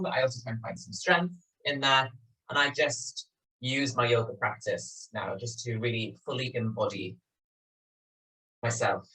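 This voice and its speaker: A man from Wales with a Swansea accent, chatting gently as he opens a yoga class from his small home studio. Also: medium pitch. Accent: Welsh, Swansea. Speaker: yoga teacher. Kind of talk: spoken yoga class introduction. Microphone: video call recording. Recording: distant, thin video call sound.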